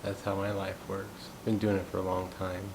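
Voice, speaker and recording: Brief: thirties male, from the US, musing monologue, flat delivery, rumbling noise in the background. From United States. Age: 30s. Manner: thoughtful, unhurried, musing aloud. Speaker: gong practitioner sharing thoughts. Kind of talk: reflective personal monologue.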